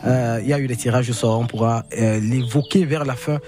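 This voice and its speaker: A man hosting a radio show from a studio, presenting in a measured way with frequent hesitations. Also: mid-range voice, fairly even intonation, dark tone. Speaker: radio host. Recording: radio broadcast with music behind. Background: music.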